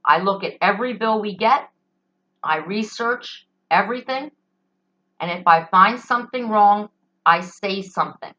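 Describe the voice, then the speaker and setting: A woman's voice: mid-range voice, measured pace, fairly bright tone. A middle-aged American woman hosting a solo talk show, giving an emphatic, indignant opinion monologue in a conversational style. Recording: dull, lo-fi sound.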